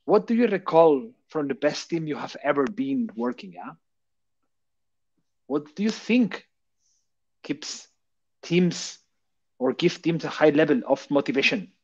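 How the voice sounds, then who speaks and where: A man in his thirties with a Catalan Spanish accent, speaking in a fairly high voice. He presents a technical topic in a halting way, full of fillers and questions to the audience. The sound is dull and dry, like a lo-fi call mic. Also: expressive, a little mellow, no echo. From Barcelona, Catalonia. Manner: hesitant, many fillers, asks rhetorical questions. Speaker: conference speaker.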